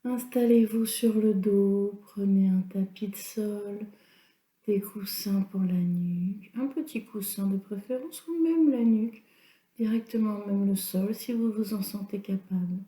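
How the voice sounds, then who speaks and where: A woman leading a guided yoga nidra relaxation as an instructor, in a calm, soothing, instructional manner. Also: medium pitch, measured pace. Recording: roomy, echoing sound.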